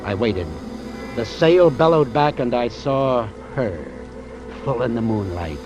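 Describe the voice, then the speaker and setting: A man's voice: medium pitch, lively intonation, slow, dull audio. An American man acting a wary, wry doctor who narrates an old-time radio suspense drama in a terse, hard-boiled manner. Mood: tense, brooding. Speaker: actor playing the narrator and lead. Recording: vintage radio drama with music underneath.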